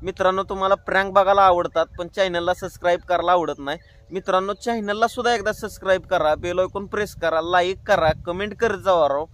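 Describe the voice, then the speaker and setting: A man's voice: high-pitched, steady tone, fairly quick, fairly bright tone. A man with a rural Maharashtrian accent, chatting colloquially and directly to viewers as host of a prank-call channel. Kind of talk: casual chatty vlog narration.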